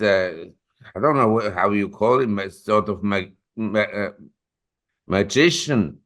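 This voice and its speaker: A man's voice: on the low side, fairly bright tone. A man in his seventies, a newsletter publisher and interview guest, telling long rambling anecdotes with self-corrections. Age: 70s.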